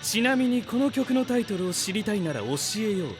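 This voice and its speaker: A man's voice: sits high, lively intonation, a little fast, over music. A man playing a confident, theatrical young scientist in an anime-style voice drama, speaking in grand, self-assured declarations. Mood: proud, showy.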